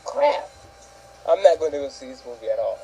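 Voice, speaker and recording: A man's voice: mid-range voice, sing-song, fairly quick, vocal fry, mellow, husky, poor audio. A man co-hosting a casual opinion show, ranting indignantly and swearing as he goes. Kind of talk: casual opinion rant with a co-host.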